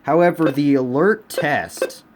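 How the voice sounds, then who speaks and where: A man's voice: fairly high pitch, slow. A man from the United States giving a casual, unscripted review as a collector, often repeating himself for emphasis. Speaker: radio collector presenting a collection. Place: indoors in a home room. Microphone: handheld camera, speaker behind it. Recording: clear background room noise.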